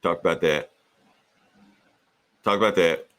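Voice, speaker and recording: A man hosting a casual pop-culture podcast, chatting in a profane, joking, animated way. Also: low voice, unhurried. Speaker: podcast host.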